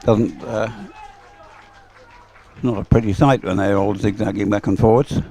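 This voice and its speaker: A man's voice: fairly low pitch, steady tone, unhurried, dark tone. A man giving live race commentary in a rambling, hesitant way, as if thinking aloud.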